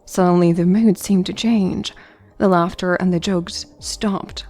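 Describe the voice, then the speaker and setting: A woman narrates a scripted audio story. Her voice sits a little low and is dark and relaxed. She tells it as the narrator. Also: unhurried.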